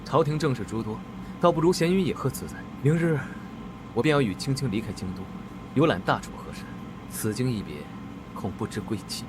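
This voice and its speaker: Actor as a quick, lively-pitched ex-prince, courtly, noisy background. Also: male voice, close to an everyday voice. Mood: resigned. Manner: calm, courtly and formal. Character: former crown prince, calm and resigned. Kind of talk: animated drama dub. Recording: distant voice with background noise.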